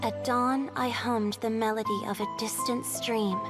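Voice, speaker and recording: An actress playing a gentle, wistful female water spirit in a video game, speaking softly and poetically with tenderness. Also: on the high side, steady tone, measured pace, close to an everyday voice. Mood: dreamy, tender. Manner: soft, poetic, tender delivery. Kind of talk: video game voice acting, verse and dialogue. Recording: music behind the voice.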